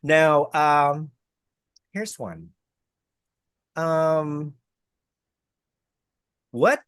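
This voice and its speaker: A man's voice: a little high, animated, extremely slow, fairly bright tone, clean tone, no echo. Guy hosting a zoom interview, rambly, trips over his words, joking around, dry close sound. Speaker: interviewer, host. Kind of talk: casual interview chat. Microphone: video call.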